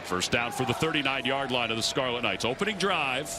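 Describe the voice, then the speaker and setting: Male American play-by-play announcer, mid-pitched voice, live football commentary, loud stadium noise behind him. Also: lively intonation, a little fast. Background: loud outdoor stadium ambience.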